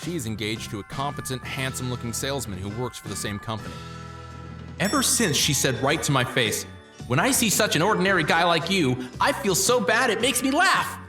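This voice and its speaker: A man's voice: mid-range voice, expressive, a little fast, lightly acted. A man playing an ordinary young office worker and loyal boyfriend, narrating and acting in a manga dub over music, earnest and easily exasperated. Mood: resentful. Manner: earnest, exasperated when provoked. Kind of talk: dubbed manga narration and dialogue.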